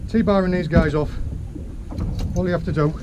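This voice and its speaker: A young man from England, chatty and excitable, explaining his catch as he fishes from a small boat, recorded on an action camera. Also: on the high side, normal speed. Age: young adult. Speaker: fishing vlogger. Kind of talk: casual commentary while fishing. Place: small open boat at sea. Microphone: action camera mounted on the boat. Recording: noisy outdoor camera audio at sea.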